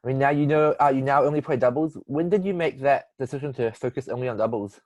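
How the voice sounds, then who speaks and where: A man's voice: medium pitch, fast talker, darkish tone. A man hosting a podcast interview, asking plain questions and giving brief encouraging backchannels. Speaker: podcast host.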